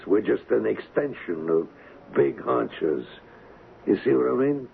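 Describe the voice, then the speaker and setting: A man playing a cocky, wisecracking gangster in an old-time radio crime drama, slangy and taunting, with rough grammar. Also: on the low side, sing-song, measured pace, narrow, tinny audio, exaggerated voice. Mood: sardonic, defiant. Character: cocky, wisecracking street-tough gangster hired as an agent. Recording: thin, band-limited, hissy old radio recording.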